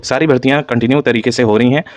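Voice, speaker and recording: A man's voice: medium pitch, fairly even intonation, quick pace, dry recording. A man with a North Indian accent giving an informal, repetitive explanation as an exam coaching instructor, mixing in English terms. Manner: code-mixes English terms.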